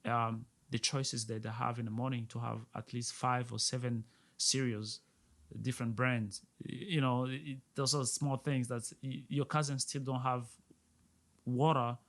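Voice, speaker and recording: A man's voice: medium pitch, little pitch movement, unhurried, fairly bright tone. An earnest man from Burundi in middle age talks as a podcast guest in a mid-range voice. He presses his points by repeating words as he speaks. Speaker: restaurant owner, guest. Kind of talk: casual interview talk.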